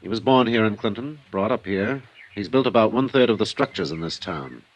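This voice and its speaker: An American actor playing a sober, principled small-town newspaper editor in a 1950s radio drama, measured and matter-of-fact. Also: male voice, fairly low pitch, animated, highs cut off.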